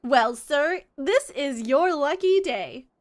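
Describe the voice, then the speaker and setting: A woman's voice: sits high, expressive, slow. A woman voicing an eager, polite bargaining adventurer from a fantasy game. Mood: friendly, coaxing. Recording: music behind the voice.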